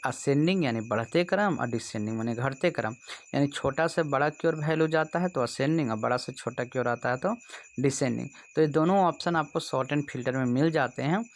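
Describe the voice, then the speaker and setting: A man from India with a Bihari accent, speaking as a computer teacher in an explanatory exam-prep lesson, instructive and often checking that students follow. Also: a little high. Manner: instructive, repeatedly checks understanding.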